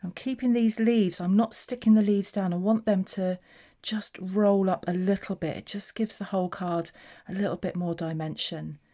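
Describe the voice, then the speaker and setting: A woman's voice: mid-range voice, steady tone, normal speed, few pauses, mellow. A woman from England, chatting casually while she demonstrates card making and narrates her own steps. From southeast England. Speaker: papercraft demonstrator. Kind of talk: casual craft tutorial chat.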